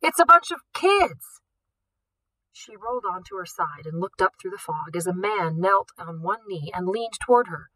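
Lady reading a book out loud, steady, expressive, bright, dry. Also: lowish voice, moderate pace, hardly any pauses, no room sound, low-quality recording. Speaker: audiobook reader. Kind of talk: reading a novel aloud.

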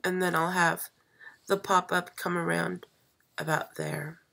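A woman who is sick and losing her voice, hosting a live stream craft demo at a table and talking slowly with many fillers and pauses. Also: lowish voice, level tone, creaky. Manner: slow, hesitant, many fillers, soft. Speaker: craft channel host. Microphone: overhead camera, hands only.

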